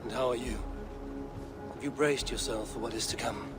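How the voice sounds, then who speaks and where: An actor playing a resolute adult Viking warrior in a video game, an earnest and blunt friend. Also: male voice, mid-range voice, fairly even intonation, normal speed, loud music behind the voice, close to an everyday voice. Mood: concerned. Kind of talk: video game cutscene acting. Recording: noisy game ambience behind dialogue.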